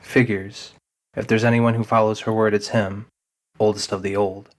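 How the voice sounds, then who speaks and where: Low-voiced man narrating a fantasy drama. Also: level tone, moderate pace. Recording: music behind the voice.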